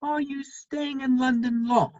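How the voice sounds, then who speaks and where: A woman's voice: high voice, steady tone, measured pace, a little mellow. A woman playing an idle, flippant dandy lord in a period stage comedy, dry in manner, on a slightly muffled microphone. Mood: flippant, teasing.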